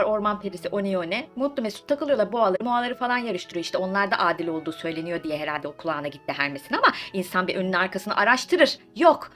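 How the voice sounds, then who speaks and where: A woman's voice: on the high side, a bit bright. A woman telling stories as a comedic host, chatty and gossipy, with sarcastic, mock-indignant asides and theatrical rhetorical questions in casual slang. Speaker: storyteller host of a comedic mythology series. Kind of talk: comedic casual storytelling, retelling myths in slang. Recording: voice over background music. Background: music.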